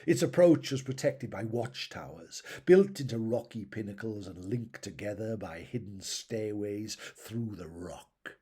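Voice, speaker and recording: A man reading fantasy fiction aloud as a narrator, in a measured, dramatic storytelling style with phrases that tail off softly. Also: on the low side, slightly rough.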